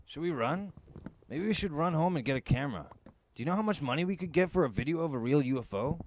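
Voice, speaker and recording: A man voicing an excitable, wisecracking teenage boy in an audiobook, with animated, slangy delivery. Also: fairly high pitch, fast talker, a little hiss or hum, voice pushed up, natural voice. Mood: nervous excitement. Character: excitable, wisecracking teenage boy, money-minded know-it-all.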